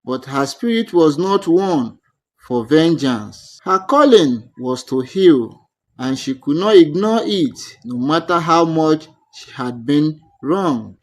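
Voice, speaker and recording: Slow, high-pitched, animated male storyteller. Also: rough recording. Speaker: storyteller, narrator. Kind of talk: scripted folktale narration.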